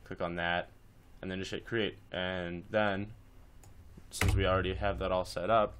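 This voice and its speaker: A man's voice: sits low, little pitch movement, moderate pace, background noise. A man presenting a casual screencast tutorial, talking informally through each step as he instructs.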